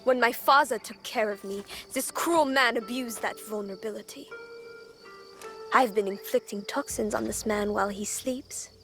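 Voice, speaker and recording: A woman's voice: a little high, normal speed, fairly bright tone. A woman playing a vengeful young pirate's daughter in a game set in feudal Japan, grave and intense. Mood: bitter confession. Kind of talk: video game cutscene acting. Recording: music behind the voice.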